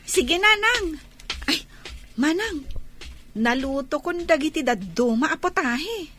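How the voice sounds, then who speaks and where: A woman's voice: high-pitched, expressive, slow pace, sharp tone, full character voice. A woman playing a young, repentant runaway daughter in a radio drama, plaintive and meek, with an Ilocano Filipino accent. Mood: surprised, eager.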